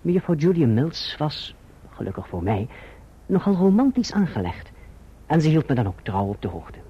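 Earnest young gentleman in an old radio play, formal wording, bit high voice, slightly husky, muffled audio. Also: very animated. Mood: reflective, wistful. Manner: formal, measured literary diction. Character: earnest, gentlemanly young Englishman narrating his own story. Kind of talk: literary radio drama narration and dialogue. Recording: muffled, dull old radio drama recording.

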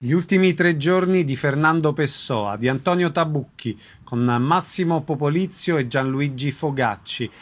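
A man reading a scripted radio announcement in a measured, formal delivery, as the announcer of a programme. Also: a little high.

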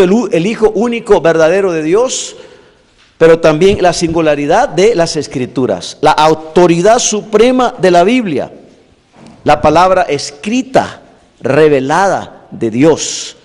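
A man's voice: on the high side, a little mellow. Man lecturing in a reverberant hall. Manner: deliberate, builds long lists with emphasis. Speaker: teacher of evangelism theology. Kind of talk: preaching-style lecture. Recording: long reverb in a large hall.

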